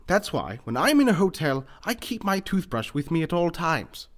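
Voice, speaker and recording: A pompous, wealthy eccentric gentleman played by a man, pitched fairly high. He speaks formally and theatrically, like the lord of the house in a comic radio mystery. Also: very animated, normal speed. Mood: matter-of-fact, pompous. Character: pompous wealthy eccentric businessman and hunter, male. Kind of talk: comedic old-time radio mystery acting.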